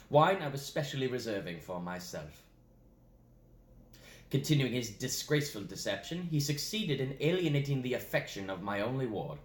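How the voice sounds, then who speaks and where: Man acting a pompous, indignant Victorian gentleman, roomy sound. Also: mid-range voice, voice pushed up. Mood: aggrieved, formal. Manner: formal, theatrical, emphatic declamation. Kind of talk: comic stage monologue. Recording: roomy echo, faint background noise.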